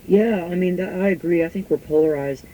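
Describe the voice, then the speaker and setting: A woman musician answering interview questions casually, in halting speech with frequent restarts. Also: on the low side, mellow, slight background noise, low-quality recording.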